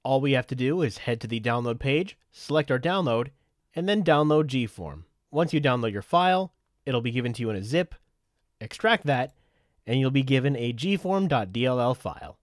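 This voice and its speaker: A man giving a scripted software tutorial in a methodical, instructional way, as a voice-over on a close microphone. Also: medium pitch, lively intonation, normal speed, no room sound. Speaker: tutorial presenter.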